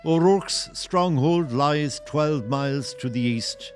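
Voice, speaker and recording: A man narrating a historical audio play in a solemn, literary and measured way. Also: a little high, music in the background. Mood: grave. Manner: measured, poetic. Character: solemn male storyteller of a historical tale.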